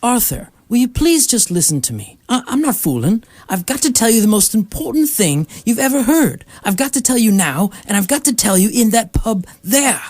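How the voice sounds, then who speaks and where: Woman acting a deadpan alien in human guise, husky, insistent, lively pitch, radio comedy. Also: moderate pace, hardly any pauses. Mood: urgent, insistent. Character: urgent, matter-of-fact alien posing as human friend.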